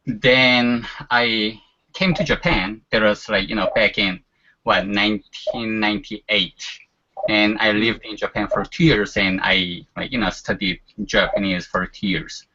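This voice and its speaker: A man's voice: on the low side, steady tone, a little slow, bright, forceful tone. A man in his forties whose first language is Korean, chatting casually as a guest and leaning on fillers like "you know". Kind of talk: casual chat. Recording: dull lo-fi sound.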